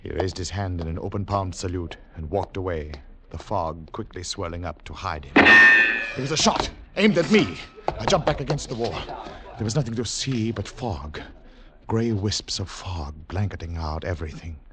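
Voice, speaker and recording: An actor playing a grave, wary secret agent in a 1940s radio drama, with a measured, dramatic delivery. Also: male voice, low-pitched, animated, heavy background noise. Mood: grave, tense. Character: David, weary wary foreign secret agent narrating his mission. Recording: old radio recording with music.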